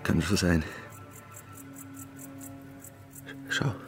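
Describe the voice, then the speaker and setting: An actor playing an earnest, taciturn mountain labourer in a radio drama, speaking in plain, halting short sentences. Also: male voice, low voice, fairly even intonation, faint music behind, close to an everyday voice. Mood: tender, earnest.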